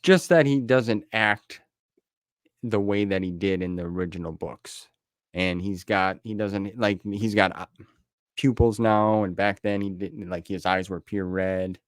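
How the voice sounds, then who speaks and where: A man's voice: deep voice, unhurried, dry recording, studio quality. A man hosting a podcast and chatting casually with his guest. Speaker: podcast host. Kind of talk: casual chat.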